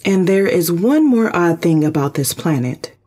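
Sci-fi radio play: ship computer played by an actor, formal, measured, literal, husky edge. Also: mid-range voice, lively intonation, a little mellow. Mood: analytical, calm. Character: calm, precise spaceship computer intelligence. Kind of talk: audio drama, analytical reporting.

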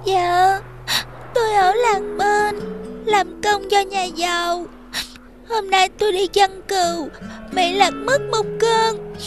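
A woman's voice: extremely high pitch, steady tone, over music. A woman voicing a poor young shepherd girl who is crying and telling her sad story. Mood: tearful. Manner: sad, halting.

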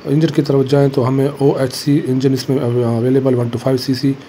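Man calmly reviewing a motorbike. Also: medium pitch, slight background noise. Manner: plain, matter-of-fact, pauses with fillers. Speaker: motorbike vlogger. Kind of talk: casual walkaround bike review. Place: indoor motorbike showroom.

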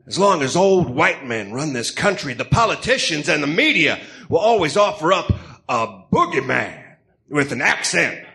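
Man putting on a bright, pompous ex-villain tycoon. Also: a little high, vocal fry, exaggerated voice. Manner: pompous, theatrical. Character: flamboyant Greek ex-villain tycoon, grandiose, smooth self-justifying showman. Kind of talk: comedic read-aloud radio drama.

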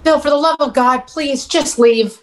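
A woman's voice: sits high, normal speed, slight background noise, natural voice. A woman playing a firm, exasperated mother who protects her son, insistent in a script table read. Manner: confrontational, insistent. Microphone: video call.

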